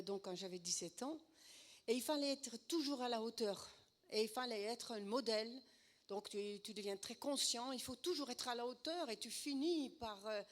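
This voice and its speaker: A middle-aged Dutch woman with a sore throat giving a church talk and testimony in a hesitant, halting way. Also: on the high side. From Netherlands. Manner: hesitant, many fillers and restarts. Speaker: guest speaker.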